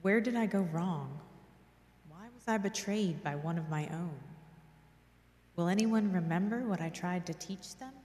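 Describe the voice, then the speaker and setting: A middle-aged woman reads prayers and meditations with solemn reverence. Her voice is a little low and her pace is slow. It rings out in a large, echoey church with long reverb. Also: darkish tone. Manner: measured, reverent. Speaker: reader of a church devotional. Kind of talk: solemn scripted devotional reading and prayer. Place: large church interior, at a lectern. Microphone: microphone on lectern stand.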